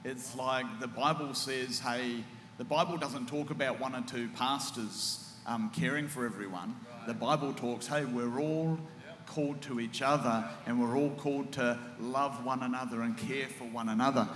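A man with a big booming voice hosting a relaxed church panel chat, enthusiastic and affirming. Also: moderate pace, slight background noise. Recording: echoey hall with long reverb.

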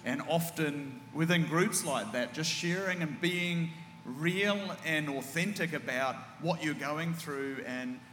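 Booming enthusiastic man in an echoey hall. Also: slow, a bit bright, slight background noise. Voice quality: big booming voice. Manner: enthusiastic, affirming interjections. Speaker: church pastor hosting a panel. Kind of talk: casual church panel chat. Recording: echoey hall with long reverb.

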